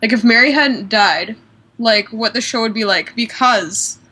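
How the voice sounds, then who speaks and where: A woman chatting casually on an online radio show, with a bright, forceful voice set a little high. She rambles and says "like" constantly as she works through an idea. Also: unhurried, slightly rough, low-quality recording. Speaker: podcast co-host. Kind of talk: casual fan discussion.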